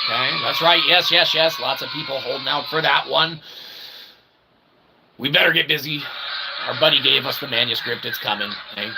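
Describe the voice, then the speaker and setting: Over clearly noisy livestream audio, a man delivers a rambling comic monologue. His voice sits a little high for a man and has a gravelly, creaky edge. He talks with mock pomp, piling up inflated invented words and stock tags. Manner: repeats stock catchphrases and tags like "okay?". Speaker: streamer and channel host.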